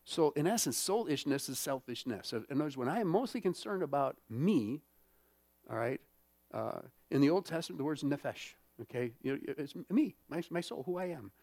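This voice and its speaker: A man's voice: fairly high pitch, expressive, a little fast, darkish tone, dry recording. A man preaching a sermon in a church, talking in a conversational way and often checking in with the congregation. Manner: conversational, many fillers and check-ins. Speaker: pastor.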